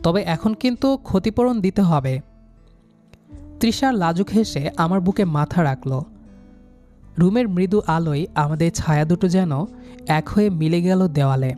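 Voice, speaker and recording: A man's voice: high-pitched, unhurried, pauses often, mellow. A man narrating a romantic story in the first person, dramatically, with an earnest and emotional delivery. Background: background music.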